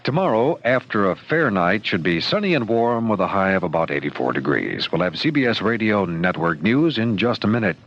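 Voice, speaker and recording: A man's voice: lowish voice, big pitch swings, a little fast, few pauses, fairly bright tone. A man announcing station identification, time and weather in a scripted radio read. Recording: dull old radio, faint noise.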